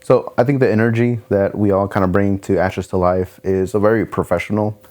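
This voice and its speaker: A man, vice president of a nonprofit, speaking in a relaxed, casual way with many fillers. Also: lowish voice, brisk. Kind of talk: documentary interview.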